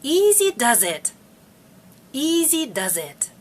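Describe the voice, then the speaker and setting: A middle-aged woman teaches English to learners. Her voice sits a little high and rises and falls a great deal. She speaks slowly and repeats each phrase clearly. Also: sing-song, noisy background. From Russia. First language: Russian. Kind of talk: slow, careful video lesson.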